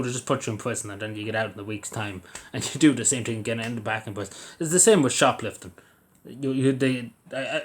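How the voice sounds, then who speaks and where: An Irish man giving his opinions in a casual vlog, rambling with frequent restarts and fillers. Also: on the low side. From Ireland. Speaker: vlogger. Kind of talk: opinion commentary to camera.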